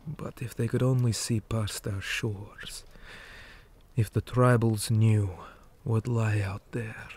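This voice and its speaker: A man playing a weary, stern orc commander in an ASMR roleplay, speaking in a hushed, deliberate manner that often drops toward a whisper. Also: lowish voice, fairly even intonation, husky. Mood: somber, resolute. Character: weary male orc commander, stern, measured, dutiful soldier. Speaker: interrogator in a roleplay.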